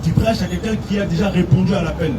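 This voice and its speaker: A man's voice: high voice, fast, distant mic, heavy background noise. A man from France giving an earnest outdoor sermon, mixing in Arabic phrases. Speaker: preacher. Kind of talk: street preaching to a crowd.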